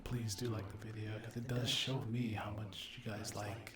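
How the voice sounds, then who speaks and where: A man chatting casually to his ASMR viewers in a soft voice that often drops to a whisper, hesitant and apologetic. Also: lowish voice, flat delivery, moderate pace. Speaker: ASMR roleplay video creator and host. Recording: roomy echo.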